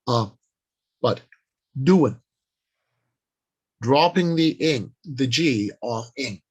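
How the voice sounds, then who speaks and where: A man presents an informal workshop on the craft of writing at a slow pace. He delivers his advice deliberately, in short phrases broken up by pauses. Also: medium pitch, animated, husky. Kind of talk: informal instructional talk on writing.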